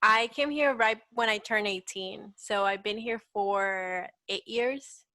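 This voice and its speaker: A woman's voice: medium pitch, slow, pushed and bright, no echo. A woman in her twenties from El Salvador with a Salvadoran Spanish accent, chatting casually and upbeat as an interview guest. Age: 20s. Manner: chatty, upbeat, says "like" often. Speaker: guest, petite model.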